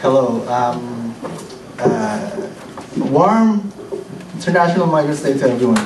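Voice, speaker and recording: A man introducing a community event, halting and full of ums and uhs. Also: mid-range voice. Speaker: event host. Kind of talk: hesitant opening remarks. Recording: echoey hall, distant mic, noisy.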